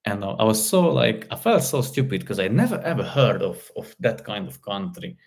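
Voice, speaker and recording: A man's voice: on the low side, sing-song, creaky. A man from Slovakia whose first language is Hungarian, chatting casually on a live stream and answering viewer comments with frequent restarts and fillers. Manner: halting, repeats words, many fillers. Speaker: live stream host, car repair hobbyist.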